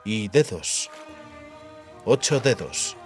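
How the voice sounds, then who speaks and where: A man's voice: lowish voice, animated, extremely slow, darkish tone, soft background music. A man narrating fantasy fiction as a dramatic, scripted audiobook.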